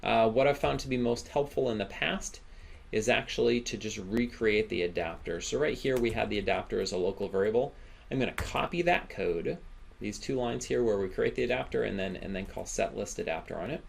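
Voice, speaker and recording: Male instructor, low register with noticeable vocal fry, informal screencast teaching, talks through each step aloud. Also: a little fast, slight background noise. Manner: casual, informal explaining, often ends phrases with "right?". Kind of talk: software screencast tutorial, thinking aloud while coding.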